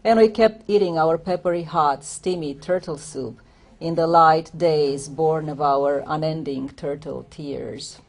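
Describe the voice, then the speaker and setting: Low-voiced woman poet. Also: a little mellow. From Romania. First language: Romanian. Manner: unhurried, reflective, explains between poems with hesitant asides. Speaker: poet reading her own work. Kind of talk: poetry reading with spoken commentary.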